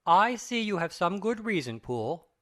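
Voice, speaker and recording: A man playing a sober, good-natured gentleman lawyer in a dramatic audiobook, speaking in a formal, earnest manner. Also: high voice, very animated, moderate pace. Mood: concerned.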